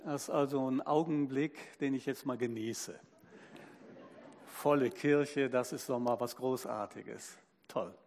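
A man's voice: medium pitch, unhurried, darkish tone, in a large hall. A man preaching a sermon to a full church, opening with a delighted address to the congregation. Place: church hall.

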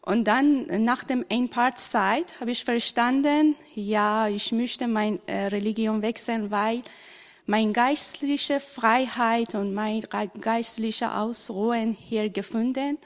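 Woman in her forties, halting testimony, long reverb of a church hall. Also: on the high side. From Iran. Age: 40s. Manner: halting, searching for words with many fillers. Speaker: baptism candidate.

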